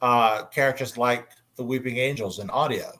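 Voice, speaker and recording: A man hosting a casual convention panel, talking in an enthusiastic, halting way. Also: medium pitch, pushed and bright. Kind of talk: casual panel discussion about audio dramas.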